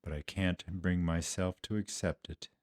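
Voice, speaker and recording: Guy acting as a remorseful disciple doing a dramatic monologue, kinda deep male voice, really slow and deliberate, confiding in you. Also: animated. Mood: ashamed, grieving. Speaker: congregation member performing a Lenten monologue.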